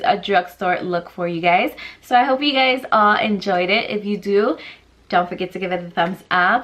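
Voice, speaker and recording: A woman's voice: medium pitch, fairly bright tone. A young woman in her twenties with a stuffy nose, chatting casually through a makeup tutorial in a small room, rambling with lots of fillers. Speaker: beauty vlogger. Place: small bedroom vanity indoors.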